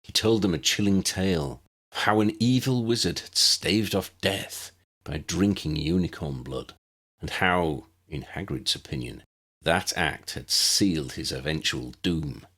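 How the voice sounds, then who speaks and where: A man's voice: deep voice, unhurried, a bit bright, slightly rough. A man reading a story aloud as a scripted audiobook narration.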